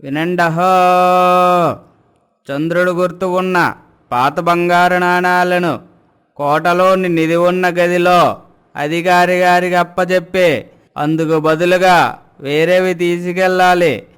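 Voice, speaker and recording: A man playing a village crier calling out a formal royal proclamation in an animated story. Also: high voice, slow pace, long pauses, smooth. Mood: proclaiming. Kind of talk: animated moral story dialogue.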